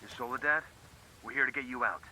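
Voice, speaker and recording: An actor playing a steady, terse special forces soldier. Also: male voice, medium pitch, fast talker, low-quality recording. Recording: game audio with loud background noise.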